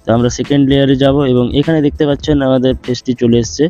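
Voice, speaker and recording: A man narrates a screen tutorial at a brisk clip, calling his viewers friends. His voice is mellow and dark. The recording is dull and lo-fi, with faint music underneath. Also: mid-range voice. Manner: steady instructional, addresses viewers as friends. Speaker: tutorial host.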